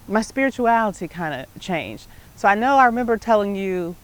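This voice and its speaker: A middle-aged American woman hosting her show, telling personal stories about life and faith in a conversational, emphatic way. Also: fairly low pitch. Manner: conversational, emphatic, often checking in with "right?". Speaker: show host.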